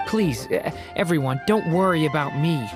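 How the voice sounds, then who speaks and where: An actor voicing a gentle, shy young dragon boy in a game, soft-spoken and hesitant. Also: a little high, expressive, normal speed, over music, natural voice. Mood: touched. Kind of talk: game voice acting.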